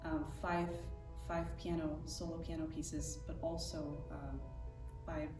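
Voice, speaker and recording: A woman's voice: sits low, little pitch movement, slow pace. Hesitant woman, distant roomy sound. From United States. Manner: hesitant, many filler words. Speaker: pianist and project leader. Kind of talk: interview talk. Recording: roomy echo from far off with music.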